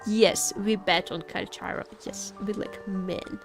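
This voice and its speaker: A woman's voice: medium pitch, steady tone, measured pace, gravelly. A woman game streamer chatting casually with viewers in a playful, teasing way as she reads and answers their comments. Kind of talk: casual livestream chat with gaming commentary. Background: background music.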